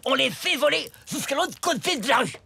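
A woman's voice: high-pitched, animated, fairly quick, gravelly, bright, forceful tone, heavily acted. A woman voicing a naive, eager young boy full of daft schemes in a cartoon, hesitant at times. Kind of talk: French TV cartoon dubbing.

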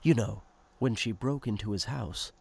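A man's voice: lowish voice, moderate pace, creaky, a little mellow, breathy edge. A man narrating a radio play in the present tense with a conversational storytelling manner.

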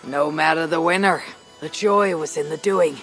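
Measured, wry Viking raider woman. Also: low voice, fairly bright tone, soft background music, low-quality recording. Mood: hearty. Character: adult Viking raider hero, calm, stoic, wry. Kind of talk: video game cutscene acting.

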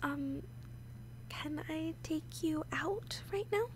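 A woman playing a nervous, awkward, obsessively lovesick young woman in an intimate audio roleplay, halting and often slipping into whispers. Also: sits high, slight background noise, rough recording. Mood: shy, hopeful. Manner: halting, hesitant, breaking into whispers.

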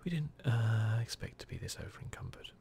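Low, creaky, soft-spoken ASMR gamer man. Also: very animated, fairly quick, noisy background. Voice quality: soft, breathy, often near a whisper. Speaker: gaming video host.

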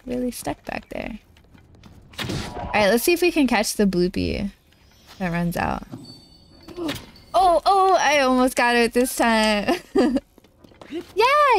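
A woman's voice: on the high side, creaky, a little hiss or hum. Giggly congested woman streaming games. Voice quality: nasal, congested. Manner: giggly, bubbly, chatting with viewers. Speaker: game streamer. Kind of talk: casual live-stream chat while gaming.

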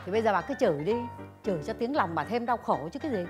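An actress playing a blunt, gossipy older neighbour in a comedic drama, needling and insistent, with a Southern Vietnamese accent. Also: female voice, mid-range voice, animated, fast, over loud music, a loud crowd, natural voice. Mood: conspiratorial, coaxing.

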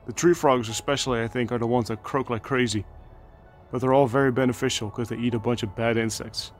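Relaxed man touring his garden. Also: medium pitch, brisk, faint music behind. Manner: relaxed, enthusiastic, chatty with fillers. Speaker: gardening channel host. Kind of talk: casual garden tour narration. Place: outdoors in a home garden.

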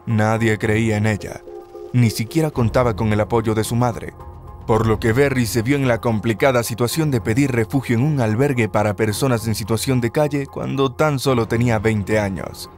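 A man giving steady, scripted narration for a countdown video. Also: mid-range voice, moderate pace, faint music behind.

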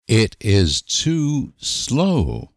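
A man reading short example sentences for language learners with deliberate, careful enunciation. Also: fairly low pitch, wildly swooping pitch, dry recording, clean studio sound. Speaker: language teacher.

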